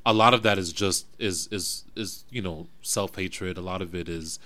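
A man's voice: low-pitched, moderate pace, pushed and bright. A young man of West African family from New Jersey, talking things through reflectively in a casual podcast conversation, with frequent restarts. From West African family, raised in New Jersey. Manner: reflective, thinks aloud with restarts.

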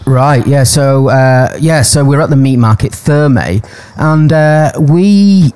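A man's voice: mid-range voice, takes it slowly, a little mellow, a little hiss or hum. A man co-hosting a podcast, chatting casually, rambling and teasing with frequent laughter. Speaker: podcast co-host. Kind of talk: casual chat. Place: Thailand.

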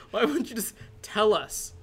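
A podcast host in their twenties telling stories in casual chat, animated, teasing and jokey. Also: medium pitch, takes it slowly, breathy edge. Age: 20s.

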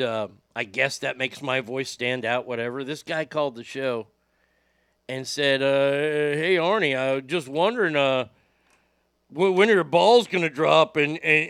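A middle-aged radio host with a high, bright voice and a gravelly edge tells profane stories in a casual, animated way. The sound is close and dry, with no room around it. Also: male voice, dry recording. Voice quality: high voice without much bass. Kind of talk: casual storytelling podcast.

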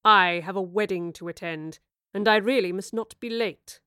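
Woman acting a smug, slow-talking sinister male villain. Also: mid-range voice, bright, forceful tone, clear, resonant tone, dry recording, clean studio sound, lightly acted. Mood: smug menace. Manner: slow, mockingly sincere, unhurried. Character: sinister male villain, smug, mock-tender and coldly menacing.

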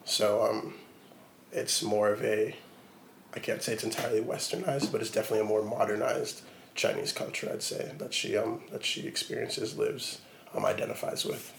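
Man, 20s, low gravelly voice, halting casual interview answers, faint background hiss. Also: level tone. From Portland, Oregon, USA. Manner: hesitant, many ums and likes. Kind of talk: relaxed conversational interview.

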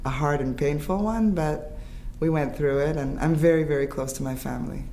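A woman's voice: low-pitched, warm tone, a little hiss or hum. A woman in her fifties from Israel, English her first language, talking reflectively as an interview guest, with many halts and restarts. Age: 50s. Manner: halting, restarts and fillers, reflective. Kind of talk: conversational interview.

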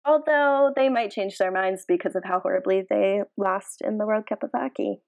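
A woman's voice: medium pitch, moderate pace, creaky, a little mellow. A woman co-hosting a podcast, casually discussing sports and giving reasoned opinions. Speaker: podcast co-host.

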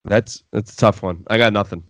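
Man, sports show host, low voice, blunt and opinionated, casual banter, close dry sound. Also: steady tone, a little fast, no room sound.

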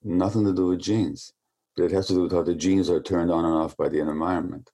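A man's voice: deep voice, steady tone, fast talker, mellow. A man being interviewed who explains at length in a lecturing way, often stumbling over repeated words as he restarts. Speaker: interview guest.

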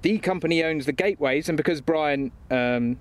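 A man's voice: fairly high pitch, moderate pace, close to an everyday voice. An actor playing a frazzled, exasperated man who is forever protesting in a comedy audio drama. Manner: flustered, sweary, protesting. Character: frazzled unemployed man, reluctant guardian of dimensions, exasperated.

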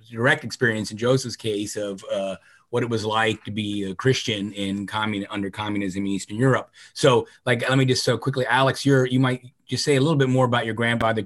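A man moderating a panel discussion, a theology professor who asks loosely and restarts his questions mid-sentence. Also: medium pitch.

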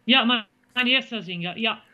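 Belgian-accented woman, bright and halting. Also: on the high side, highs cut off. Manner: halting, searches for German words with many fillers. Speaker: country singer, interview guest. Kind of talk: casual interview answers.

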